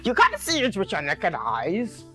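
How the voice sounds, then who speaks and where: A woman's voice: fairly low pitch, huge pitch swings, a little slow, a bit bright, soft background music, exaggerated voice. A woman voicing a sly, cunning old male tortoise in a children's story, speaking in a teasing manner.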